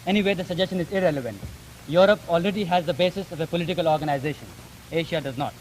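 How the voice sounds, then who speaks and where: Male debater, Indian accent, high voice, emphatic and articulate; vintage recording with some hiss and noise. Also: racing. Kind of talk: formal debate. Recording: noisy 1950s archive broadcast recording.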